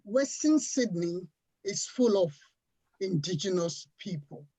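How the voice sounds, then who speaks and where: A woman from Africa speaking on a panel in an earnest, deliberate and emphatic way. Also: lowish voice, expressive, mellow, breathy edge. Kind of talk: panel discussion talk. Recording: dull lo-fi livestream audio.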